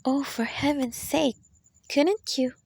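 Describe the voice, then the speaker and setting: A woman's voice: high voice, slow pace, vocal fry, a little mellow, exaggerated voice. A woman playing an anxious, demanding invalid wife in a radio play, with an imperious, agitated way of talking. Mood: impatient.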